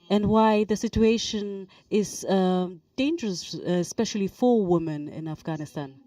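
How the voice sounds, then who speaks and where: Woman interpreter, low mellow voice, unhurried, on a dry distant mic. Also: no echo. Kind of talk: consecutive interpreting on a panel.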